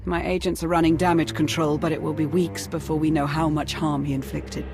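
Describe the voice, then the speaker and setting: Controlled, formal Sith adviser. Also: female voice, low voice, flat delivery, a little fast, over music. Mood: controlled, businesslike. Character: female Sith adviser, loyal, vengeful, shrewd. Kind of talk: cinematic video game voice acting.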